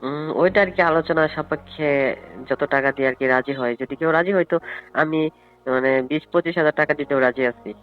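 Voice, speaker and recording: Wealthy male client played by a man, quick, hesitant yet persuasive delivery, tinny phone sound with faint music. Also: on the high side, lightly acted. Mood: insistent, persuasive. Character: wealthy male event client, politely insistent, propositioning.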